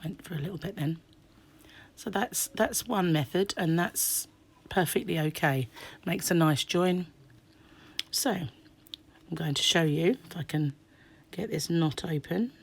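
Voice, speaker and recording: Brief: low-pitched woman, croaky sore throat, chatty crochet teacher, drops to half-whispers while stitching. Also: a little slow. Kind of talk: hands-on craft tutorial.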